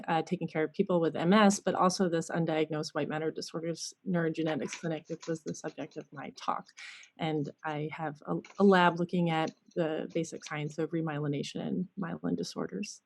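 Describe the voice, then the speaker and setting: A woman neurologist introducing herself as a guest, casual and quick, with many ums and trailing softer. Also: lowish voice.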